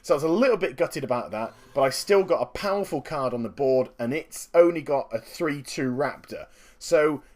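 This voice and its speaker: A man with a slightly croaky voice, giving upbeat, chatty commentary on his own card game match and explaining each move. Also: on the high side, animated, normal speed. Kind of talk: gameplay commentary.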